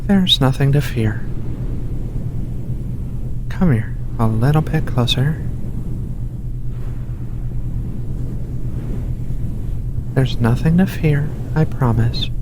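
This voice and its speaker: A performer voicing a gentle giant furry alien researcher in a soft, hushed, soothing ASMR roleplay. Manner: soothing, unhurried, reassuring, coaxing. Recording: steady rumbling ship ambience behind voice. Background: low engine rumble of a spaceship.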